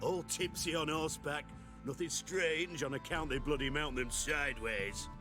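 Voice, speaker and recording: A man's voice: on the high side, moderate pace, music in the background, natural voice. An actor playing a crude, scoffing rustic henchman in a video game, with coarse, ungrammatical country speech. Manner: coarse, rustic nonstandard grammar. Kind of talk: dramatic game acting.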